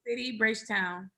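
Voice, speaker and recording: A woman from Barbados co-hosts a podcast and chats in a light, easygoing way. Her voice is bright and clear, and she sounds a little distant from the mic. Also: fairly high pitch. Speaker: podcast co-host. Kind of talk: casual chat.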